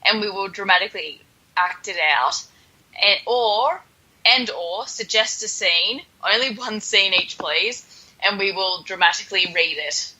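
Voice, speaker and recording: A woman's voice: medium pitch, a little slow, pushed and bright, husky, poor audio. A woman co-hosting a podcast in casual comedic chat, with a playful, mock-dramatic sarcasm. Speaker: podcast co-host.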